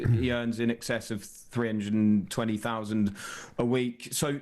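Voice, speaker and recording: A man working as a football reporter, explaining transfer news in an off-the-cuff, conversational broadcast discussion. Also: mid-range voice.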